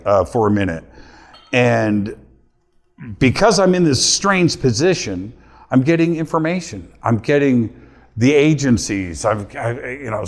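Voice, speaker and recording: A middle-aged man speaks to a live audience in a voice of ordinary middle pitch. He tells his story with animation and acts out the lines he quotes. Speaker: radio host giving a talk.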